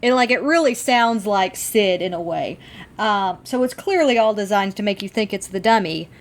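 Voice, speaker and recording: A woman's voice: mid-range voice, moderate pace. Enthusiastic woman chatting as a podcast co-host. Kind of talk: casual TV episode discussion.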